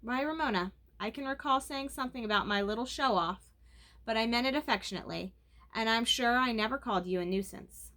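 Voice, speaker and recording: Lady doing a nice lady teacher from a kids book, reasonable n kindly, bright voice. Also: a little high, a little fast. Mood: surprised, reassuring. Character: female schoolteacher, kindly, reasonable, gently amused.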